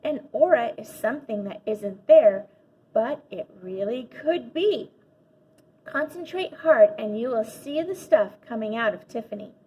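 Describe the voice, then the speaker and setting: A woman's voice: fairly high pitch, lively intonation, measured pace, mellow. A woman reading a children's book, voicing an imaginative schoolkid who earnestly and mysteriously bluffs through a class speech. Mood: excited, urging. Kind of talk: children's storybook read-aloud. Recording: dull lo-fi audio with faint noise.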